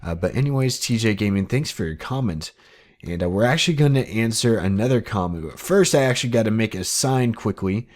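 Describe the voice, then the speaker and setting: A man's voice: lowish voice, expressive, a little fast. An eighteen-year-old man hosting his own game channel, talking casually and rambling upbeat with lots of fillers. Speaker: gaming channel host. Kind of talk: casual Minecraft commentary.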